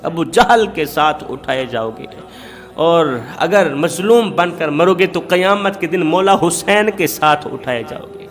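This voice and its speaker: A man's voice: sits high, a bit bright. A man preaching and telling moral stories in an emphatic style, building his points through rhetorical repetition. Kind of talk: sermon with moral storytelling. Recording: long hall reverb.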